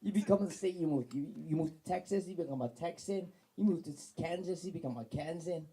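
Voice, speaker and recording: A man from Hawaii performing a stand-up comedy set in a club, loud and playful and laughing at his own jokes. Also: fairly high pitch, fast. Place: comedy club stage with audience. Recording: live club with crowd noise.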